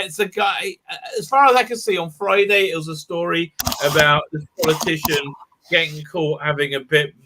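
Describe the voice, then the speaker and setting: A man's voice: on the high side, pushed and bright, a little hiss or hum, poor audio. A man hosting a casual livestream call, rambling through chat messages with lots of fillers and restarts. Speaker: livestream host.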